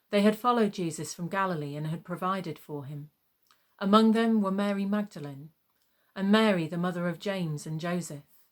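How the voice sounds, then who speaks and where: Female narrator for a dramatised gospel reading, slightly low pitch, solemn and measured delivery. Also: darkish tone. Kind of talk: scripted scripture narration.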